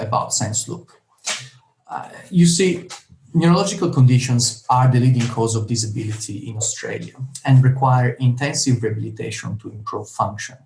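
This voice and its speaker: A man's voice: mid-range voice, a little mellow. A young man from Italy with an Italian accent, earnestly presenting his research over a video call, restarting and repeating words. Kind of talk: online pitch presentation. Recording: roomy video call audio.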